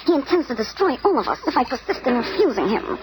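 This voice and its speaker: A woman's voice: sits high, very animated, fast, noisy background. A woman playing an earnest, defiant captive alien princess in a 1970s radio drama, with a grave, dramatic delivery. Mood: grave warning. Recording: vintage radio recording under music.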